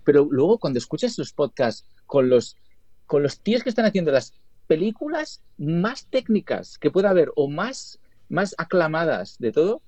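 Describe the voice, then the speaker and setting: A man's voice: a little high, sing-song, normal speed, darkish tone, dry recording. A man from Spain, a guest talking casually about his editing work, rambling with restarts and tag questions. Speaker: guest, video editor and colorist. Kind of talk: casual interview talk.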